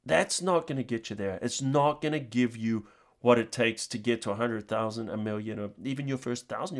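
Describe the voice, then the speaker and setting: Casual New man talking to viewers. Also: mid-range voice. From New Zealand. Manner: informal, rambling, addressing viewers directly. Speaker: tutorial channel host.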